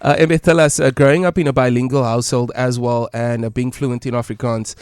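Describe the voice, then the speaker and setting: A man's voice: mid-range voice, steady tone, fairly quick, a little mellow, clear, resonant tone, no echo. A South African man co-hosting a radio interview in a studio, upbeat and peppered with fillers. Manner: upbeat, filler-heavy introductions. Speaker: radio co-host.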